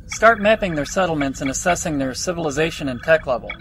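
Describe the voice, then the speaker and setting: A starship captain in a sci-fi radio play, acted by a man. He is composed, curious and dryly commanding, with a male voice pitched a bit high. There is some music underneath. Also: animated, quick pace, close to an everyday voice. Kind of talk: science fiction audio drama acting.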